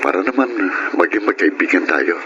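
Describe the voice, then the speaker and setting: An earnest, courteous elder brother in a radio drama. His very low voice has a Filipino accent and a measured, sincere delivery, with noticeable noise in the recording. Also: lively intonation, lo-fi sound. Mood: earnest, shy. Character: Noel, earnest, generous eldest brother, shyly courting.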